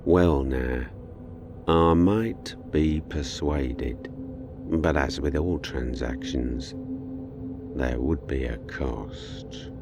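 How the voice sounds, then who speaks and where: An ancient, evil spirit speaks extremely low and very slowly, with a gravelly creak. It is sly and taunting, a menacing horror-drama villain savoring each word. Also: male voice, music in the background, heavily acted. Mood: sinister, seductive. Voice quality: very deep, gravelly, dark. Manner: slow, deliberate, taunting. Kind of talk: audio horror drama acting.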